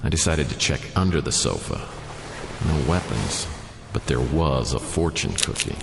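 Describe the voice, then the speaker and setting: Very deep, wry hardboiled detective. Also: male voice, normal speed, creaky, in a large hall, heavily acted. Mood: wry, brooding. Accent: American. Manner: deadpan, self-mocking. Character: wry, down-on-his-luck hardboiled male private detective. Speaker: lead character and narrator. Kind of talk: noir radio drama narration and dialogue. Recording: studio radio drama over music. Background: prominent music.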